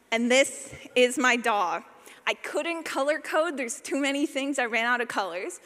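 A woman's voice: high voice, a bit bright, big-room sound. A woman giving an informal talk as a sound designer, chatty, playful and self-deprecating.

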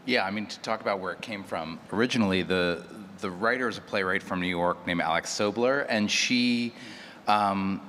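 A man's voice: low voice, normal speed, bright, forceful tone. A man speaking as an audio drama producer in a thoughtful panel talk, searching for words and repeating phrases as he explains. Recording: echoey hall with clear background noise.

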